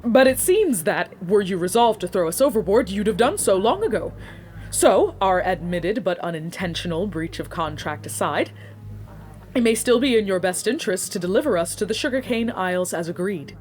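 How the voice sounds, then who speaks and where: A woman's voice: mid-range voice, lively intonation, normal speed, darkish tone, lightly acted. An actress playing an earnest, anxious woman in an audio drama, speaking in formal, wordy sentences with careful diction.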